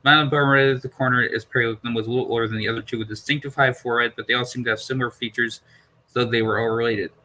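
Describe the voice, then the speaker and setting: Guy reading a sci-fi novel out loud, bright voice, trips on words sometimes. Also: mid-range voice, fairly even intonation, racing, poor audio. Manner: stumbles over words and repeats phrases. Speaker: amateur audiobook reader. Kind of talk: reading a science fiction novel aloud.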